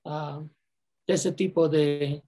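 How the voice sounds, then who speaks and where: A man's voice: on the high side, fairly even intonation, drawn-out words, mellow. An older man asking a question at an online conference, rambling and repeating words as he searches for phrasing. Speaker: audience member asking a question.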